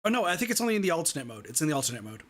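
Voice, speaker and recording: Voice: medium pitch, big pitch swings, very fast. A streamer talking casually and a little self-deprecatingly with friends, sometimes reading out a game's narration. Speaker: gaming streamer. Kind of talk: casual group chat and game narration.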